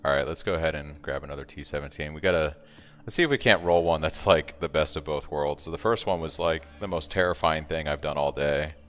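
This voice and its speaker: A man's voice: a bass voice, brisk, a bit bright. A man streaming a game, talking casually and thinking aloud as he answers chat, with a soothing voice. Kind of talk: casual live-stream commentary. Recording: long reverb, faint music behind.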